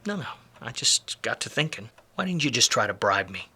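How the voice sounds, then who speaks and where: An actor playing a hard-drinking, wisecracking, jaded 1940s private detective in a film noir radio play, with sardonic, slangy delivery. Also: male voice, mid-range voice, very animated, fairly quick, bright, forceful tone, husky, full character voice. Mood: needling, feigned innocence.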